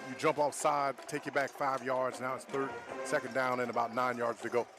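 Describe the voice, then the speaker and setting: A man's voice: mid-range voice, level tone, moderate pace, music in the background. A man working as a football color analyst, explaining plays conversationally on a live broadcast.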